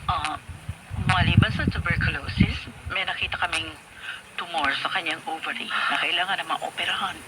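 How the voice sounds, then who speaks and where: An actor playing a grave doctor in a Filipino radio drama, measured and formal, on a noisy recording. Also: medium pitch, vocal fry, natural voice. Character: grave doctor delivering news.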